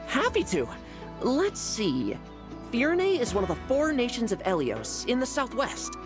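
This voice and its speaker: A man's voice: very high voice, wildly swooping pitch, measured pace, over music, heavily acted. A man playing a friendly, kindhearted young prince in a video game, chatty, polite and upbeat in manner. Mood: cheerful, friendly. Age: young adult. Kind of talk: scripted video game voice acting.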